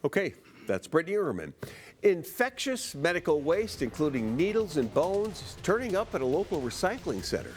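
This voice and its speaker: An American man anchoring a newscast, reading scripted stories in an emphatic, measured broadcast style. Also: a little high. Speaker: news anchor. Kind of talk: scripted broadcast news delivery.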